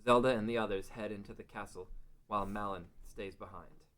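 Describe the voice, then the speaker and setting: A man's voice: fairly low pitch, fairly even intonation, fairly quick, a bit bright. A man narrating a scripted fantasy story as the narrator of a table read.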